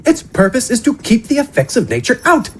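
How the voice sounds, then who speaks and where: A man's voice: very high voice, very animated, brisk, breathy edge, voice pushed up, exaggerated voice. A man voicing a pompous, wry, genteel older traveler in a fantasy audio drama, with ornate phrasing. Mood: pompous, objecting.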